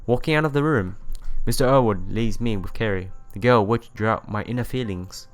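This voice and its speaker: Low-voiced man reading a visual novel aloud. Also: a little fast. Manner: stumbles over words and corrects himself. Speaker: let's play host.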